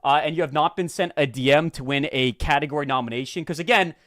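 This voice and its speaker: A young man with a stuffy, sniffly voice, hosting a casual live stream and greeting viewers in rapid-fire hype. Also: a little high, fairly bright tone. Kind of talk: casual livestream chat.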